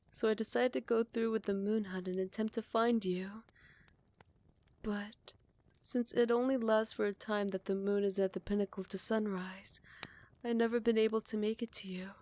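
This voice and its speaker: A woman voicing a proud vampire royal smitten with a rival, in soft, formal, measured speech that often slips toward a whisper, for a romantic ASMR roleplay. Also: mid-range voice, natural voice. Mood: wistful, tender, then hushed, longing. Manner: formal, archaic, measured courtly phrasing. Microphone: close microphone, dry.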